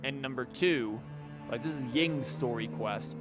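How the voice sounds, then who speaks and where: Male game commentator, average pitch, joking and rambling, casual stream feel, music clearly behind. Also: unhurried. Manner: wry, joking, rambling asides.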